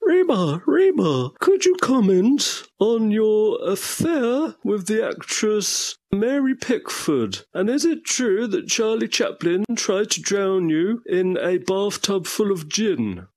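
A man acts out a nosy radio-comedy reporter with a high-pitched voice. He questions formally and persistently, in a slow delivery, with faint music underneath. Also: big pitch swings, darkish tone, heavily acted. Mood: accusing. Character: persistent press reporters lobbing absurd accusatory questions. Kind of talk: comedy radio theater press conference.

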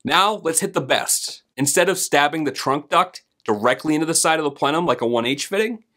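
Steady man explaining to camera. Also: a little high, brisk. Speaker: host and HVAC trainer. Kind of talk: scripted instructional explainer. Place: home office, indoors.

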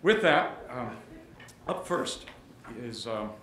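A man's voice: mid-range voice, expressive, slow, slight background noise. Older man, session chair, genial, big voice, podium mic, roomy hall. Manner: genial, loud, says he has a big voice. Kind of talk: introducing speakers at a conference. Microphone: podium microphone.

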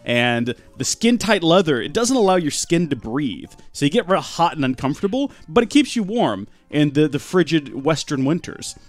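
A young man rambles through live game commentary with deadpan jokes. His pitch swings up and down a lot as he talks. Faint music plays underneath. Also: moderate pace, few pauses. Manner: rambling deadpan joking, animated. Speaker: streamer.

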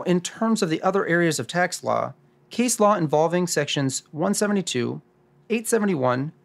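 Noir radio drama: dry male expert, weary and gravelly, highly animated pitch, explains deliberately man acting. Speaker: host.

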